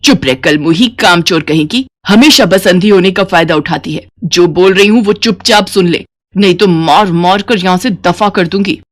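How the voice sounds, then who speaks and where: A woman's voice: fairly low pitch, normal speed, close to an everyday voice. A woman voicing a cruel mother-in-law who scolds in a harsh, bullying, commanding way for a moral story. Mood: angry, threatening.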